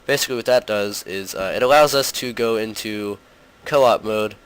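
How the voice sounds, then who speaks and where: Young male gaming host, lower pitch, slightly hoarse with a cold, rambling casual commentary. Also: moderate pace, low-quality recording. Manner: rambling, heavy on fillers like "like" and "um".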